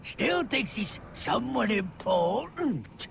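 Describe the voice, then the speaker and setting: A man's voice: sits high, big pitch swings, slow, creaky, background noise, exaggerated voice. A man voicing a chatty, irreverent servant creature in a video game, theatrical and cheeky like a folksy guide. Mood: wry, ominous. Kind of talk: video game cutscene acting. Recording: music behind the voice.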